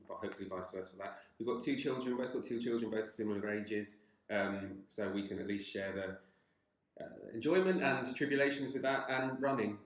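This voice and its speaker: A man who is head of people, answering interview questions in a relaxed, jokey way. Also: fairly low pitch. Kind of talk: workplace interview. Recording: roomy office with faint noise.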